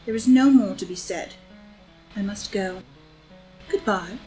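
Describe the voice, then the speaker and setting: A woman's voice: medium pitch, unhurried, mellow, faint music behind, natural voice, low-quality recording. An actress playing a worldly, scheming society woman with a languid, arch wit, in an audio drama reading of a stage play. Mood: cold, scornful. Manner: languid, arch, epigrammatic delivery. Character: scheming, worldly, witty society woman, cynical and coolly seductive.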